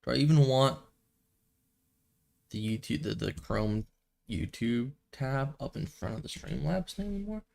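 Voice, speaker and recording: A man's voice: mid-range voice, unhurried, creaky, long pauses, darkish tone. A young man with a stuffy nose streaming a building game, thinking aloud in a rambling, casual way at a desk microphone. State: a little stuffy from a cold. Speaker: gaming streamer. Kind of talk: casual gameplay commentary.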